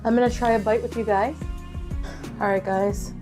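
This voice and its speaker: A woman's voice: medium pitch, fairly even intonation, normal speed. A woman talking casually to viewers in a cooking and tasting vlog. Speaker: food vlogger. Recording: music behind, played-back online video.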